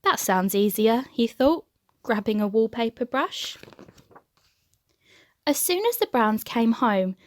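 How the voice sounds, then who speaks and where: A woman reading a children's storybook aloud as an expressive narrator. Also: a little high, takes it slowly, long pauses. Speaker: storybook reader.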